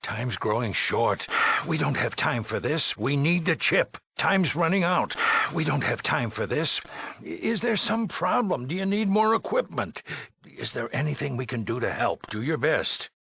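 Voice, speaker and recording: An actor playing a weary, anxious middle-aged male leader of an underground shelter in a video game, with a raspy, gravelly voice and halting, earnest delivery. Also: mid-range voice, fairly bright tone, no echo, full character voice. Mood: pressing, agitated. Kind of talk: dramatic game dialogue acting.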